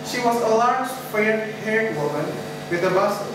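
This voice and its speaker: A teenage boy reading the narration for a school readers theater, steady and even. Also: high voice, moderate pace. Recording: echoey classroom, distant mic, loud noise.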